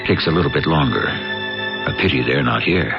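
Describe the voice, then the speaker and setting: A man's voice: rumbling low, normal speed, gravelly. An American man hosting a radio drama with wry, theatrical scripted narration. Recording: dull old radio broadcast. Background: loud music.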